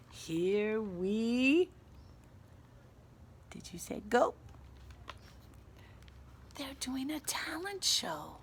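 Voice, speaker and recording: A woman reads a picture book to young children as their teacher, taking her time. She is expressive and explains things in simple asides, with a touch of creak in her voice and some noise in the recording. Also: sits low. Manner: animated, gasping, child-directed explanations.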